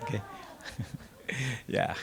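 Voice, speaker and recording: Joking man preaching to a congregation. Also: drawn-out words. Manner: jokey, banters with the congregation and asks them to raise hands; mixes in English phrases. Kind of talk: sermon.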